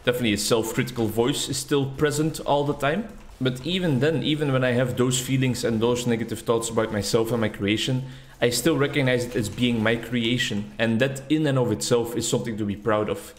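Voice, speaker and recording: A man's voice: mid-range voice, fairly quick. A young man, a native Dutch speaker, chatting in a rambling, reflective way while commenting on his gameplay. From Netherlands or Flanders. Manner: rambling, reflective, self-deprecating. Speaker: gaming YouTuber. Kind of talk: casual commentary over gameplay.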